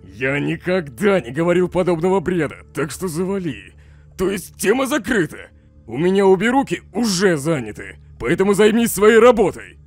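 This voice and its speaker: A man's voice: medium pitch, very animated, normal speed, mellow, natural voice. A man voicing a snarky, irritable skeleton scientist in a comic dub, sardonic and dismissive. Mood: irritated. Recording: faint music behind the voice.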